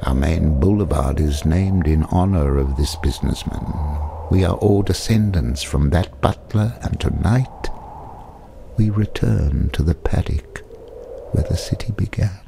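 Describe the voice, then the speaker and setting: An actor playing a brooding cab driver who tells grim local history in a slow, measured radio play monologue, with faint noise behind. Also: male voice, very deep voice, creaky, full character voice.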